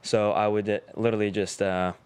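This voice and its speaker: A man in his twenties from Uzbekistan, a native Russian speaker, with a very clean, smooth and slightly low voice. He talks as a podcast guest, telling his own story in loose, slangy, informal conversation. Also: level tone, fairly quick, darkish tone, no room sound. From Tashkent, Uzbekistan. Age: 20s.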